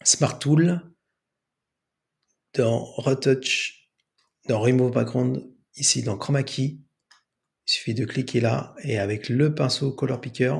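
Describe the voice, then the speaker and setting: A man presents an improvised screen tutorial. His medium-pitched voice is gravelly and very slow. He talks through each step aloud in a hesitant way. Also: a little mellow. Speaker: tutorial host.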